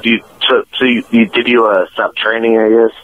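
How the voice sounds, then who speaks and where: A man's voice: mid-range voice, little pitch movement, brisk. A man calling in to a radio show, talking hesitantly with many fillers over a phone line. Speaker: caller. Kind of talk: casual phone call.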